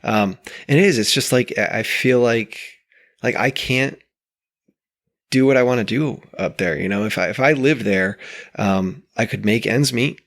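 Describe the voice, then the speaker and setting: A man from upstate New York, hosting a casual podcast conversation, speaking in a halting, reflective way with restarts. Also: medium pitch, creaky, fairly bright tone. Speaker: podcast host.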